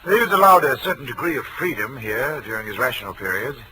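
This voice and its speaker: A man's voice: medium pitch, big pitch swings, fast talker, crowd noise, close to an everyday voice. A man playing a grave, evasive asylum doctor in 1940s radio style, speaking formally and with dramatic emphasis. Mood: defensive, uneasy. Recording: noisy vintage radio recording.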